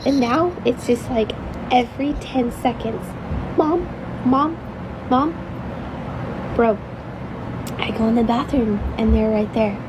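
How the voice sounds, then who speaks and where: A woman with a high voice venting in exasperation about her children, speaking casually to her phone. The clip carries clear background noise, with traffic sounds behind her. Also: slow, long pauses. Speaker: mother.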